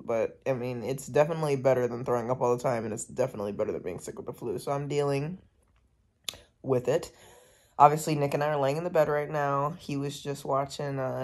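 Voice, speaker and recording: A woman's voice: rumbling low, fairly quick. A young woman with a slightly nasal, congested voice, chatting casually to her phone camera for a family vlog. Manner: chatty, relaxed, talks to camera. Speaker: family vlogger. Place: car, restaurant and home. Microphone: handheld phone camera. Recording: phone video with background noise.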